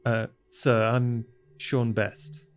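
Slow-paced, hesitant man at a tabletop game. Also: medium pitch, level tone, soft background music. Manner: hesitant, tentative. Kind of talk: casual role-play.

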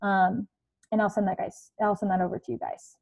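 Young female career coach on a home video call, relaxed tone, dull lo-fi sound. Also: medium pitch, a little fast, vocal fry. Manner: chatty, many fillers like "like" and "um". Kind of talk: casual online presentation. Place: home living room. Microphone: earbud headset on video call.

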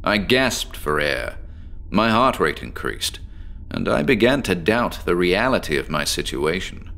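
A deep-voiced, sleepless, eccentric professor. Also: male voice, big pitch swings, gravelly, sharp tone, exaggerated voice. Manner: measured, deliberate storytelling with dramatic pauses. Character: eccentric obsessive male professor, sleepless, confessional. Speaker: patient recounting his experiment to a doctor. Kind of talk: horror audio drama monologue.

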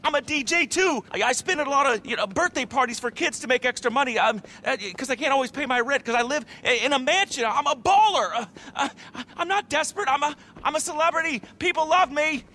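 An actor playing a desperate, boastful wannabe celebrity DJ in a video game, babbling and bragging in a needy way. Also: male voice, extremely high pitch, fast talker, few pauses, pushed and bright. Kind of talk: video game cutscene acting.

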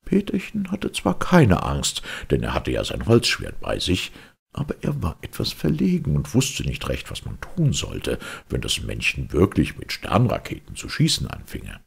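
A male audiobook reader tells a fairy tale with great theatrical expression. His voice is extremely low and very husky, with a gravelly creak. The delivery is animated and storybook-like. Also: moderate pace.

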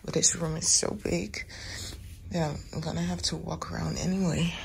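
A woman vlogging casually from a hotel room in the morning, her voice raspy and often dropping to a whisper. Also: low voice, little pitch movement, normal speed, a little hiss or hum. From Netherlands. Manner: soft, often whispering in the dark.

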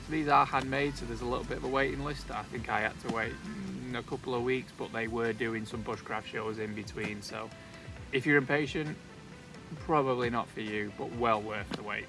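A man's voice: mid-range voice, fairly even intonation, moderate pace, vocal fry. Man, thirties, casual gear review, light joking asides, outdoors in the woods, music in the background. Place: outdoors in a leafy wood. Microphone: camera on a tripod, also handheld selfie while walking.

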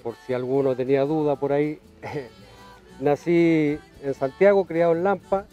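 A Chilean man in his fifties, a horse trainer, telling stories in an outdoor ranch interview, trailing off softly and restarting his phrases. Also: on the high side, steady tone, normal speed, dull audio, soft background music. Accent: Chilean Spanish with Mexican influence. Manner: warm storyteller, many restarts, trails off softly. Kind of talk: storytelling interview. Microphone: lapel.

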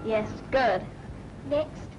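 A woman's voice: on the high side, very slow, highs cut off, background noise. An actress playing a British schoolmistress-like woman drilling a pupil in road safety, on a vintage film soundtrack. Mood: instructive, encouraging.

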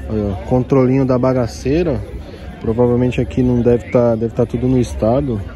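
A man's voice: mid-range voice, little pitch movement, moderate pace, mellow, lo-fi sound. A Brazilian man with a São Paulo accent chatting casually and in slang as a vlogger, walking through an outdoor market. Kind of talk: casual handheld market walkthrough, slangy chat. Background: crowd and street sounds.

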